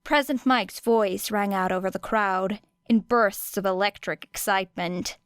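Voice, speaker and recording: In a dry, close sound, a woman narrates fiction at a slow, measured pace. Her reading is expressive and dramatic, with deliberate pauses between phrases. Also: mid-range voice, clean tone, dry recording. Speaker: audiobook narrator.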